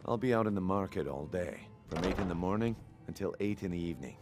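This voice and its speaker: An actor playing a shady, smooth-talking market swindler in a fantasy video game, sly, confident and needling. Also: male voice, deep voice, expressive, fast talker, music in the background. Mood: sly, businesslike.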